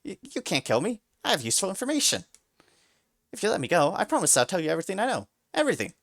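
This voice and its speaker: A man's voice: a little high, wildly swooping pitch, normal speed, vocal fry, fairly bright tone, no echo, studio quality, pitched above the performer's own voice, heavily acted. A man voicing a sly talking mouse that pleads politely and later turns into a boastful griffin. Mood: panicked. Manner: pleading and overly polite, then gloating.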